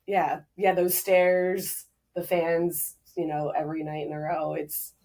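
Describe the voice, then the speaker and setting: A woman's voice: deep voice, flat delivery, unhurried, dark tone. A young woman, a team's fan engagement director and stadium announcer, chatting casually on a podcast, bubbly and full of fillers. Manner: bubbly, laughs often, filler-heavy.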